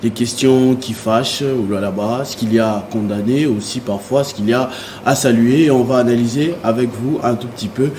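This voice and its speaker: A Congolese man hosts a political panel in a medium-pitched, relaxed and warm-toned voice. He moves through questions haltingly, hesitating mid-phrase. There is light noise on the recording. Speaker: talk show host. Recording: faint noise on studio recording.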